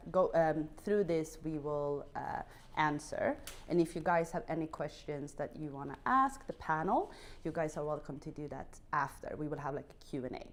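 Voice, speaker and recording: A woman hosts a panel discussion, chatty and informal, with plenty of fillers along the way. Her voice sits a little low for a woman. A faint background noise runs under the recording. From Sweden. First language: Swedish. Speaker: panel moderator. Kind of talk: moderating a live panel.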